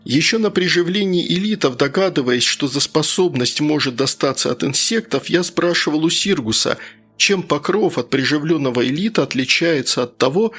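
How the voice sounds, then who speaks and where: A man's voice: fairly high pitch, animated, moderate pace, a bit bright, slightly rough. A man narrating a first-person story as a scripted audiobook reader. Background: faint background music.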